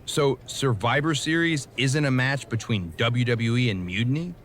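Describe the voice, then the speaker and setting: A man's voice: mid-range voice, moderate pace, fairly bright tone, a little hiss or hum, close to an everyday voice. A man playing a determined, mildly exasperated wrestling hero in a video game cutscene, speaking tersely as the plain-spoken straight man.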